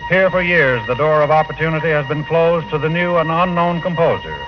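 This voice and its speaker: A man's voice: on the high side, a little fast, noisy background. A man announcing formally from a script in the style of 1940s radio. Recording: muffled old 1940 radio broadcast.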